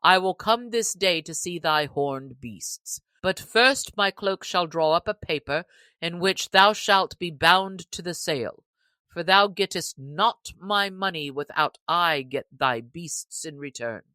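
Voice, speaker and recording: A woman's voice: lowish voice, unhurried, bright, forceful tone, no echo. Woman reading as a greedy, suspicious sheriff. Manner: suspicious, grumbling, archaic speech. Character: greedy, wary middle-aged male sheriff. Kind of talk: audiobook character reading.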